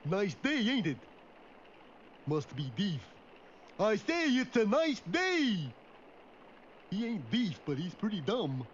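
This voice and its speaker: A man's voice: high voice, big pitch swings. A man voicing a big, good-natured, dim-witted moose in a classic cartoon, with a goofy, folksy drawl. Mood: cheerful, chatty. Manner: slow-witted, folksy, naive. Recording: dull old cartoon soundtrack with hiss.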